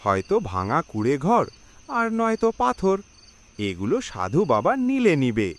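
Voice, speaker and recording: A man's voice: mid-range voice, wildly swooping pitch, normal speed. A man voicing a poor, fretful woodcutter and father in a cartoon, dramatic and pleading in his delivery. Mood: resigned. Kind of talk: cartoon voice acting.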